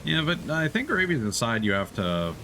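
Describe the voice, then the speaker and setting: A man's voice: mid-range voice, wildly swooping pitch, fairly quick, sharp tone, a little hiss or hum. A man casually chatting and joking while streaming a video game, in a conversational, slightly hesitant way. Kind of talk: casual chat while gaming. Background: video game sea and ship ambience.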